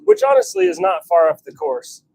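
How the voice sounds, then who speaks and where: A man's voice: sits high, wildly swooping pitch, normal speed, gravelly, a little mellow, breathy edge, no room sound. A man chatting informally on a livestream while he works, talking half to himself and half to viewers. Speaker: livestream host, hobby blacksmith. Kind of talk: casual livestream chat while working. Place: home forge workshop.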